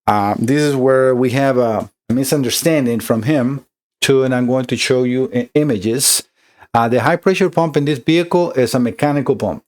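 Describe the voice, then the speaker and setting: A middle-aged man with a Costa Rican Spanish accent talks through an electronics repair step by step. His delivery is conversational and full of fillers and restarts, set at an average pitch for a man. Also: moderate pace, darkish tone. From Costa Rica. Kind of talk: explaining a repair walkthrough.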